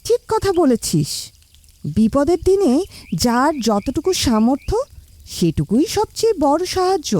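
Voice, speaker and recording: Cartoon village mother, voiced by a woman, high animated voice, encouraging, practical, dry close sound. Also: measured pace, no room sound. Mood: caring, encouraging. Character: kindly, practical village mother advising her child. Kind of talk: animated moral story dialogue.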